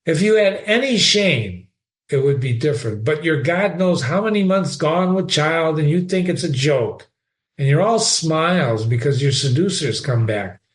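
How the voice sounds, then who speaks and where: A man's voice: lively intonation, moderate pace, lightly acted. A man voicing a tearful, indignant young woman, a Scottish nurse who scolds and sobs. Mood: scolding. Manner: shrill, scolding, sobbing.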